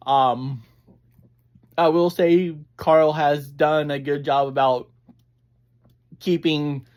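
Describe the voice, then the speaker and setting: A reviewer, chatting casually in a halting, digressive way as host of a review. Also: mid-range voice, a little mellow. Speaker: audio drama reviewer, host. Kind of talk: casual rambling review chat.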